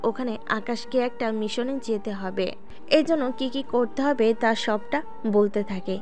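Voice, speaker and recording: A woman storyteller reads scripted narration in a fairly high voice. Music plays under her throughout. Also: normal speed, a little mellow.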